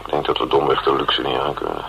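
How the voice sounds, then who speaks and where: Very deep, wry, gravelly cynical man. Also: animated, fast, exaggerated voice. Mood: rueful. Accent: Netherlands Dutch. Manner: sardonic, rambling monologue. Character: wry, cynical working man musing ruefully to his sweetheart. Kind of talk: radio drama acting. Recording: dull, hissy old radio recording.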